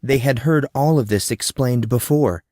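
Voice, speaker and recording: A man's voice: medium pitch, normal speed, dark tone, no echo. Male fiction narrator, dry close sound. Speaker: storyteller, narrator.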